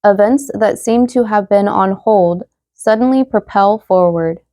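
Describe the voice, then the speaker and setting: Casual tarot reader, young woman, mellow slightly hoarse voice, dry close sound. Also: medium pitch, measured pace, no echo. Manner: chatty, many fillers, reads card messages aloud. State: losing her voice, slightly hoarse. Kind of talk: casual tarot reading to viewers.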